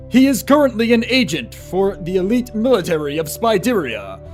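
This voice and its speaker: A man reading scripted storybook narration as the narrator of a fantasy tale. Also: sits high. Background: music.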